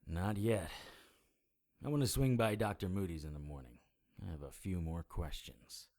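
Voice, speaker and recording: Deep-voiced, world-weary investigator. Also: male voice, moderate pace, a little mellow. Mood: weary, confiding. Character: wry world-weary immortal male investigator who looks about forty. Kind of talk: audio drama dialogue and first-person noir narration.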